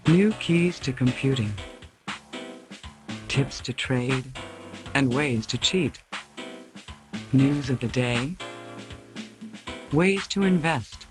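A man reading a scripted commercial voice-over in short, punchy lines. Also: medium pitch. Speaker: ad announcer. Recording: archival ad with music bed. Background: guitar music.

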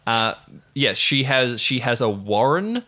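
Bright-voiced man running a tabletop game. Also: medium pitch, sing-song, unhurried. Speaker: game master. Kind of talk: casual tabletop game narration with banter.

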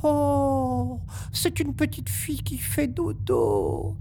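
A woman playing a playful, impatient gust of wind in an audio fiction, with an expressive, theatrical delivery. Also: high-pitched, slow pace, creaky, exaggerated voice. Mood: curious, uneasy. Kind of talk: French audio fiction acting.

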